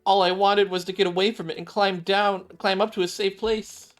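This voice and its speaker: A streamer voicing an excitable young female survivalist from a video game, with gushing exclamations. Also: medium pitch, moderate pace, faint music behind, voice pushed up, lightly acted. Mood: sheepish. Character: excitable young woman survivalist, squeamish about bugs. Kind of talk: acted reading of video game dialogue.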